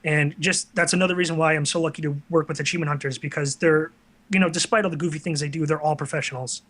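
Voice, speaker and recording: Bright-voiced man chatting as interview guest. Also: a little high, steady tone, fast talker. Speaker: guest, online video series creator. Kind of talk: casual interview chat.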